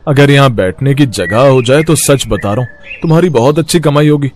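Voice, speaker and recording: A man's voice: mid-range voice, fairly quick, darkish tone, a little hiss or hum, natural voice. A man voicing a kindly working man with a friendly, advising manner in a cartoon moral story. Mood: kindly, concerned.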